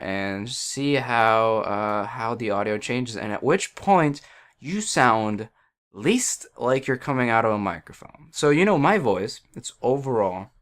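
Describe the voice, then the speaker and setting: A man's voice: on the low side, a bit bright. Rambling man talking into a headset. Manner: hesitant, many fillers and restarts, self-deprecating. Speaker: livestreamer explaining audio settings. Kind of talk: casual rambling tech explanation. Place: at a computer by a wall indoors.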